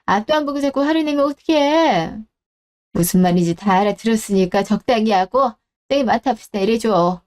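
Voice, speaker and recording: A woman's voice: fairly high pitch, animated, fairly quick, darkish tone, lightly acted. A woman playing an elderly, doting mother and grandmother in a radio drama, apologetic, sighing and rambling as she talks. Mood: weary pleading. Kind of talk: Korean radio-drama story acting.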